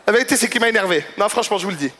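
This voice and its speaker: A male comedian with a high, bright and forceful voice works a gala stage. He tells his stories conversationally, speaking straight to the audience. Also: expressive, very fast, long reverb tail. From Morocco or Moroccan family in Quebec. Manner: conversational, addresses audience directly. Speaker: stand-up comedian. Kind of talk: stand-up comedy storytelling.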